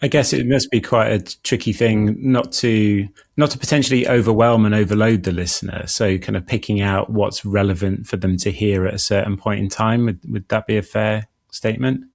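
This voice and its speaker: A man's voice: fairly low pitch, steady tone, normal speed, gravelly, hardly any pauses, darkish tone. A man hosting an interview, putting conversational questions to his guests.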